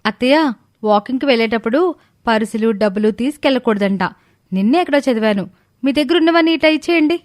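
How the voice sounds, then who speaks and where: A woman playing a clever, dutiful young daughter-in-law who speaks politely and respectfully in an animated story. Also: on the high side, fast, no echo, studio quality, natural voice. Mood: gently firm. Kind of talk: animated moral story dialogue.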